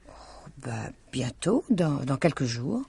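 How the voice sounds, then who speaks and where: A bustling, blunt housekeeper in a 1950s-style radio mystery. Her voice is husky and animated, scolding in a devoted, motherly way. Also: extremely low pitch, takes it slowly, dry recording, exaggerated voice. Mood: worried, chiding. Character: blunt, fussing, devoted housekeeper, mature woman.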